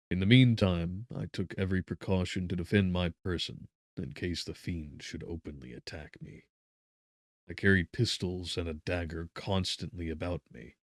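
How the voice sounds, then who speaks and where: A man narrating a literary audiobook in a solemn, theatrical manner, with deliberate, emphatic phrasing. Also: low-pitched, no echo, studio quality.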